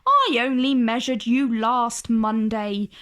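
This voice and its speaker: A woman plays an older boy monkey in a storybook tale for children. He sounds high and matter-of-fact, the sensible friend who brushes off silly hopes. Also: slow pace, fairly bright tone, clear, resonant tone. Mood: dismissive. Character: bigger male monkey friend, sensible and dismissive.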